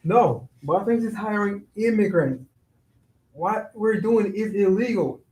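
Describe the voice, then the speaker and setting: A man's voice: sits high, expressive, unhurried, darkish tone. A man playing an uneasy, doubting construction worker in a staged reading of a play. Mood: worried, irritated. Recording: roomy, distant, noisy microphone.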